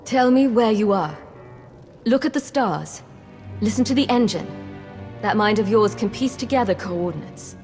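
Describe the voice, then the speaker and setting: An actress playing a commanding, ruthless intelligence agent, controlled and insistent, in a science-fiction video game. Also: female voice, medium pitch, expressive, normal speed, pauses often, darkish tone, in a large hall, faint music behind, natural voice. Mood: urgent, earnest. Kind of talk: scripted video game acting.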